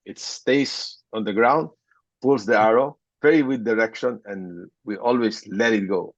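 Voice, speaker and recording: A man. He talks as an interview guest, haltingly, with many restarts. The sound is a dry, dull, lo-fi remote call. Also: lowish voice, no room sound. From Philippines. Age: 60s. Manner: hesitant, with frequent restarts and short laughs. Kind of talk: conversational interview.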